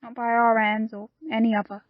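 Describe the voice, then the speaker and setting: A woman's voice: on the high side, little pitch movement, a little fast, no room sound, highs cut off, lightly acted. A woman playing a loyal, plain-spoken pirate crew member in an audio play, telling her story earnestly in old seafaring dialect. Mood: earnest, sorrowful. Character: loyal, plain-spoken pirate crewwoman confiding a grave secret.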